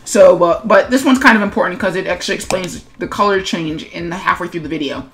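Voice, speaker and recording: A woman's voice: lowish voice, fast, background noise. A woman hosting a casual, unscripted speed-paint commentary as a webcomic artist, talking in a rambling, hesitant way and often correcting herself. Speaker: webcomic artist and video host.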